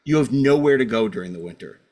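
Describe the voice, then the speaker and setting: A man's voice: mid-range voice, lively intonation, quick pace, darkish tone. A man hosting a casual panel discussion, arguing his opinions emphatically and often repeating himself. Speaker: podcast host.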